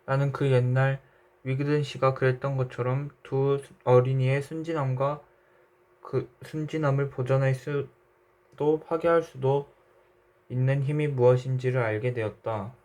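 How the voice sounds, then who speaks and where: A man narrating a literary short story in a measured, reflective way. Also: medium pitch, level tone, a little mellow.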